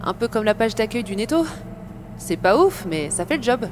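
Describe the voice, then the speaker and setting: Woman playing higher-voiced, wry, hesitant companion. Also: big pitch swings, moderate pace, background noise. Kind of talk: comedic French audio drama acting.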